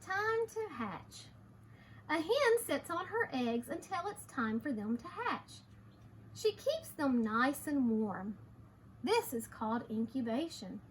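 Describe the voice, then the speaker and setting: A woman teacher reading aloud to young children, expressive, with simple explanations along the way. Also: high-pitched, breathy edge.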